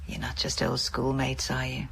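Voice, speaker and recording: An actress playing a homely, chatty, motherly carer who gently probes in a radio drama. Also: a bass voice, monotone, moderate pace, gravelly, a little hiss or hum, exaggerated voice. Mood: nosy, knowing.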